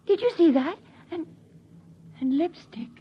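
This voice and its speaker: An actress playing a gentle, timid mother with a breathy, faltering voice in a 1950s radio drama. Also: very high-pitched, lively intonation, measured pace. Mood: tender. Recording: dull old radio broadcast recording.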